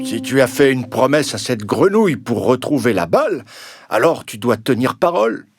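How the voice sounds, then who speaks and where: A man's voice: mid-range voice, very animated, normal speed, loud music behind the voice. Man playing a fatherly storybook king. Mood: stern. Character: fatherly king, firm and principled. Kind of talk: children's storybook cartoon acting.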